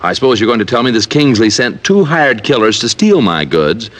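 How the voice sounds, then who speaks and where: A man's voice: medium pitch, expressive, a little fast, natural voice. A man playing a haughty, fussy, easily exasperated shop owner in a 1940s radio drama.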